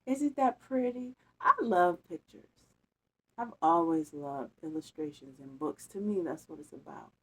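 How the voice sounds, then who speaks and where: A woman reading a picture book aloud, expressive and playful, with chatty casual asides to children. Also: lowish voice, measured pace, breathy edge, poor audio. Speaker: storytime reader.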